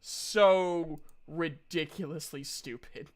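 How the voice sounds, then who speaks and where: A streamer hosting a casual group chat on a livestream, joking crudely and given to animated exclaiming. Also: medium pitch, slow. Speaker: streamer hosting a tabletop game session.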